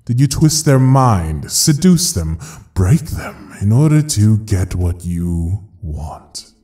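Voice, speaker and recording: Ancient ruler of hell, very mellow timbre, slow, taunting, dramatic audio roleplay. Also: male voice, on the low side, big pitch swings. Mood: menacing interrogation. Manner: theatrical, taunting, drawn-out delivery. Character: ancient, mocking male devil ruling hell.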